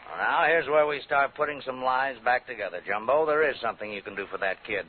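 An actor playing a stern, commanding prison warden who lectures with authority in a 1950s radio drama. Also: male voice, medium pitch, quick pace, lightly acted. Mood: earnest, firm. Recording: tinny old radio broadcast, faint noise.

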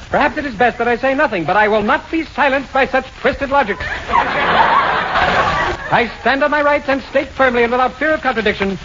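A man playing a pompous, emphatic Hollywood gossip reporter in a 1940s radio comedy. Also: very high-pitched. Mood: defiant, mock-solemn. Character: self-important, breathless Hollywood gossip reporter. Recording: very noisy muffled 1940s radio recording.

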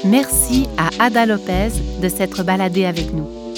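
A woman reading the scripted narration and credits of a podcast in a measured, clear way. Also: medium pitch, loud music behind the voice.

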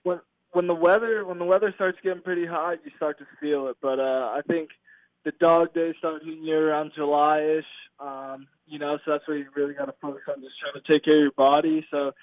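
A man's voice: on the high side, fairly even intonation, a little fast, no echo. A man in his twenties from California, giving a casual, laid-back interview as a guest over the phone. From California, United States. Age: 20s. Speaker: baseball player, interview guest. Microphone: phone call. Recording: tinny narrow phone line.

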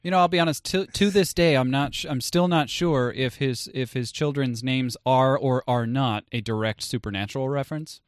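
A man's voice: medium pitch, normal speed, few pauses, clear, resonant tone, no room sound, clean studio sound. A man hosting a casual, comedic podcast chat in a jokey, playful way. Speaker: podcast host.

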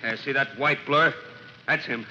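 A man's voice: medium pitch, moderate pace, pushed and bright. A man acting a burly, cocky firefighter with breezy, slangy talk in a 1940s radio drama. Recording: noisy muffled 1940s radio recording.

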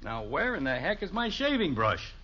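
A man's voice: a little high, very animated, moderate pace, sharp tone, a little hiss or hum. An actor playing an exasperated middle-aged American father in a 1950s radio sitcom, with an emphatic, blustering manner. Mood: indignant, blustering. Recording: muffled vintage 1950s radio recording.

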